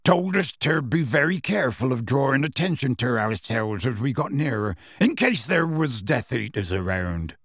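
A huge, gruff half-giant gamekeeper tells his tale. The voice is husky and rough, swinging freely in pitch, with folksy, unhurried phrasing. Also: sing-song, no echo, studio quality. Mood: storytelling. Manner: folksy, ungrammatical, unhurried storytelling. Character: huge gruff half-giant gamekeeper, rustic, warm-hearted, plain-spoken. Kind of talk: audiobook character voice.

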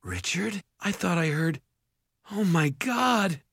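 A frightened, fiercely protective mother in a storybook, voiced by a man. Her voice is pitched well up, breathless and panicked as she exclaims. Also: expressive, slow, vocal fry, a bit bright, husky, no room sound, studio quality, exaggerated voice. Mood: shocked.

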